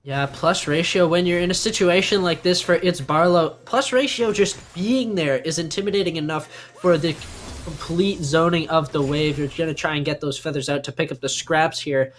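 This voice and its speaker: A man's voice: a little high, normal speed. A man giving live analytical commentary on an esports match in a conversational, explanatory way.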